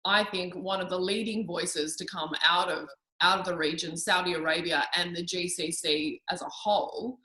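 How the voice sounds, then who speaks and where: A woman's voice: fairly low pitch, fairly even intonation, normal speed, reverberant, low-quality recording. A woman hosting a video-call interview, encouraging and affirming her guest often. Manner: warm and encouraging, affirms often with "I know" and "absolutely". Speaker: interview host.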